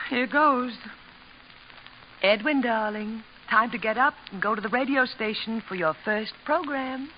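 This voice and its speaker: An actress playing a devoted, brisk, coaxing wife in a 1950s radio comedy. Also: female voice, on the high side, close to an everyday voice. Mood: anxious. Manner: coaxing, fussing. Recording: muffled, hissy old radio recording.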